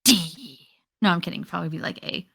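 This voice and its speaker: A woman's voice: lowish voice, fairly quick, vocal fry, fairly bright tone, breathy edge. A woman panelist in a casual chat, opinionated and bantering.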